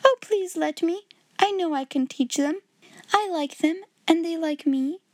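A woman voicing a proud, steadfast little orphan girl with a quiet, mournful manner, in a storybook reading. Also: high-pitched, moderate pace, dry recording, voice pushed up, natural voice. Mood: hopeful, pleading.

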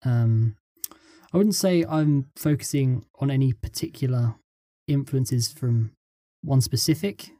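A man being interviewed as a script writer, explaining his work casually in short, halting pieces. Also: mid-range voice, warm tone, dry recording, studio quality.

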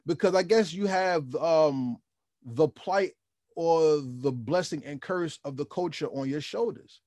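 A man's voice: fairly high pitch, a little slow, a little mellow, no room sound, clean studio sound. A man hosting a podcast, asking questions and musing on the history of the music in a reflective, lecturing way. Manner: reflective and lecturing, with repeated restarts. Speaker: podcast host. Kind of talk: interview questions and commentary.

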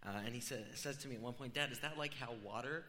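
A middle-aged man preaching a conversational sermon, informal and explanatory, with a voice a little rough from being unwell. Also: mid-range voice, quick pace, bright, forceful tone. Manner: informal, storytelling, explaining step by step. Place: large room, amplified before a congregation. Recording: reverberant hall.

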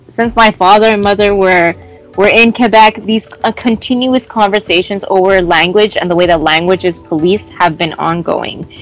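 A Canadian woman talking in a relaxed podcast chat. She speaks with feeling and often stops and restarts mid-sentence as she searches for the right words. Faint music sits low behind her voice. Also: rough recording. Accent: Canadian English. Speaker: guest. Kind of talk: casual podcast conversation.

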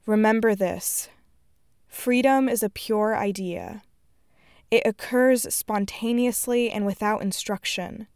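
Woman in her 20s, smooth clean voice, reading something solemn and measured, dry audio. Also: medium pitch, a little mellow, dry recording. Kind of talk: scripted personal reflection and reading.

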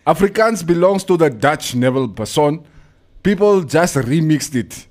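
A man's voice: a little high, animated, moderate pace, a little mellow. A man in casual conversation, arguing his point insistently, switching between English and Afrikaans and laughing easily. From Namibia.

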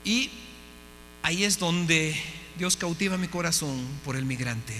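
A man's voice: on the high side, lively intonation, measured pace, bright, forceful tone, long reverb tail, a little hiss or hum. A man preaching a testimony sermon, impassioned and often asking his listeners questions. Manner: impassioned, asks the audience questions. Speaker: missionary preacher.

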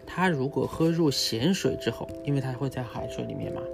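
A man's voice: mid-range voice, brisk, creaky. A man, a father, explains things step by step to his young child in casual talk, often checking in with little questions. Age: adult, father of a young child. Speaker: father and host teaching his child about animals. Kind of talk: casual educational chat with a child, explaining from a book. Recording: music behind the voice.

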